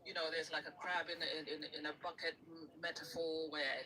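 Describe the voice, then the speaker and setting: A man's voice: on the high side, flat delivery, fairly quick, recorded from a distance, noisy background. A man from Uganda speaking as a guest on a live stream panel, earnest and thoughtful, often restarting his sentences.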